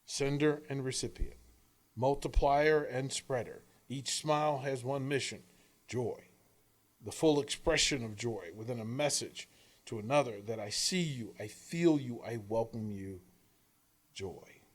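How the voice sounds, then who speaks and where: Male narrator, medium pitch, for inspirational audiobook read; earnest, emphatic, measured phrasing with dramatic pauses. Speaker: author reading own work; coach and radio host. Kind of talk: scripted inspirational audiobook-style reading.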